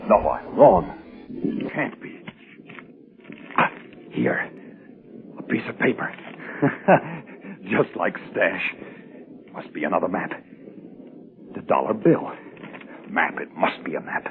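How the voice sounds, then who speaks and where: An actor playing a desperate, badly injured, greedy crook in a strained voice, in 1940s radio suspense style. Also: male voice, deep voice, full character voice. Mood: frantic disbelief. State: badly strained, struggling for breath. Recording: muffled vintage 1940s radio, faint music behind.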